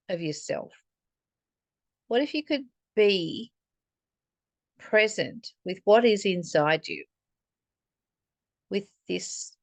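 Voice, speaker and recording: A woman reading a spiritual text and commenting on it reflectively, in a deliberate, earnest manner, like a teacher addressing the listener. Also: medium pitch, no room sound. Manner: deliberate, earnest, posing rhetorical questions to the listener.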